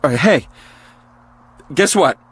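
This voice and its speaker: A man's voice: on the high side, lively intonation, slow pace, a bit bright, slightly rough, heavily acted. An actor playing a fed-up, sardonic, volatile father in a radio drama. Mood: bitter defiance. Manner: sarcastic, volatile. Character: fed-up, sardonic father rebelling against his machine.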